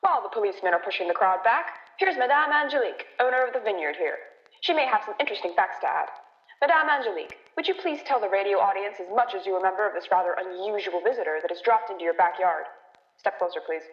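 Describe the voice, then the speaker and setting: A woman's voice: mid-range voice, animated, fast talker, natural voice. A woman acting an earnest, eager radio field reporter in a stage play of a news broadcast. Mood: excited, flustered. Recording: dull, roomy, echoey stage recording.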